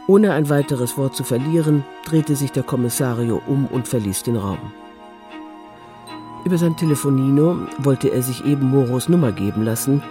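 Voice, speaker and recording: A man's voice: medium pitch, dark tone. A man reading scripted literary narration as the narrator of a crime story. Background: music.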